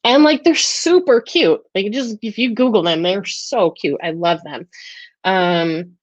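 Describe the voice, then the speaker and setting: A woman's voice: medium pitch, unhurried, dry recording. An American woman hosting a casual weekly livestream chat, enthusiastic and full of fillers and restarts. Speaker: host of a weekly live talk and Q&A.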